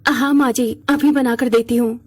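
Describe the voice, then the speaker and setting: Woman voicing a high, husky, worried poor mother. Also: steady tone, normal speed, a little mellow, close to an everyday voice. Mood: reassuring. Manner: earnest, pleading. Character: poor, worried, devoted young mother and wife.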